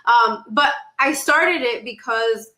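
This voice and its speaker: A young woman with a high, bright voice speaks as a guest in a casual interview. She tells her story in an animated, conversational way, acting out things she has said. The sound is roomy, with noticeable echo. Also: slow pace. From Dominican Republic and New York City. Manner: animated storytelling, acts out her own past remarks. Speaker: podcast guest, actress and content creator.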